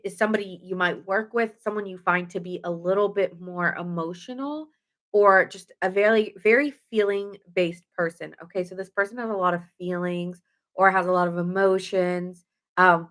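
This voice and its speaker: A woman's voice: medium pitch, fairly bright tone. A woman doing a casual live tarot reading, chatty and rambling with fillers and self-corrections, her nose stuffy. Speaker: tarot reader.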